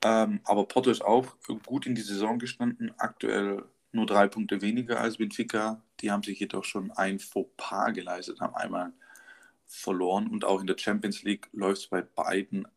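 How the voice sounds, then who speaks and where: A young man co-hosting a casual football podcast, his voice sitting a little low. He runs through match statistics in an upbeat way, with lots of filler words and small restarts. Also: little pitch movement, moderate pace. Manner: stat-heavy, upbeat, filler-laden delivery. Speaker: podcast co-host.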